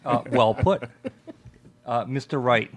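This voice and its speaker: An American man with a medium-pitched voice hosts a live radio event. He speaks casually and effusively, stumbling, pausing and repeating himself as he goes. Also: steady tone. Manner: halting, repetitive, effusive. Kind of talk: casual, unscripted thanks and chat.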